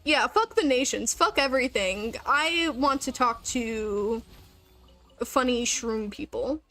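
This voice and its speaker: A woman's voice: on the high side, slow pace, bright, forceful tone, faint music behind, rough recording. A woman chatting casually on a gaming livestream, rambling along with the odd swear word.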